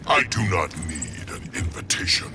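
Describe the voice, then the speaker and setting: An actor playing an ancient, solemn plant monster guardian of nature in a video game, with a growling, gravelly voice and a formal, ponderous manner. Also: male voice, deep voice, wildly swooping pitch, moderate pace. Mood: solemn menace. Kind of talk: video game fight intro dialogue. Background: music.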